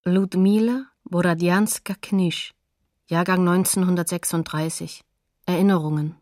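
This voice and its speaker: A woman with a slightly low voice announces in a sober, scripted way. Her delivery is neutral and factual, with the close, dry sound of a radio documentary. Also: fairly even intonation, a little slow, a little mellow, no echo.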